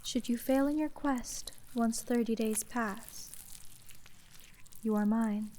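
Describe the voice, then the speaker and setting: A woman playing an aloof, cunning sea witch in a fantasy ASMR roleplay, deadpan and monotone, with formal, condescending speech. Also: on the high side, takes it slowly, slight background noise. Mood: calm, businesslike. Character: aloof, cunning female sea witch, coolly menacing dealmaker.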